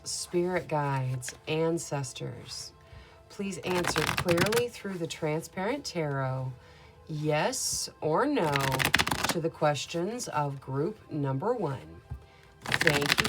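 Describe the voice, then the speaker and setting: A woman tarot reader with a scratchy voice, giving a calm, measured, soothing reading. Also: on the low side. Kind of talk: gentle tarot reading monologue.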